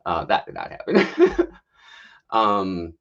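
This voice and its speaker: A man's voice: on the low side, wildly swooping pitch, takes it slowly, fairly bright tone, muffled recording. A man in his thirties from Mexico and the US, telling his story casually and candidly as a guest. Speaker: podcast guest, health coach. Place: remote online livestream call.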